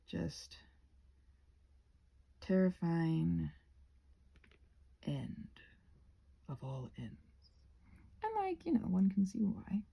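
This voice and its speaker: A woman chatting in a rambling, halting ASMR style, soft-spoken and often slipping into whispers. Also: lowish voice, gravelly, husky, lo-fi sound. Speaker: ASMR creator rambling while painting a craft project. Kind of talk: ASMR rambling casual chat.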